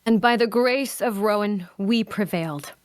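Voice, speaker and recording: A woman's voice: mid-range voice, moderate pace, no echo, lightly acted. A woman playing a solemn, pious adventurer who declaims with righteous conviction. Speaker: actor at a table read.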